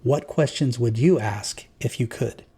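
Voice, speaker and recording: A man's voice: medium pitch, unhurried, breathy edge. A man reading a rehearsed, friendly introduction to an interview as a voice-over. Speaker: show introducer and panelist. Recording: voice-over with guitar music behind. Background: soft guitar music.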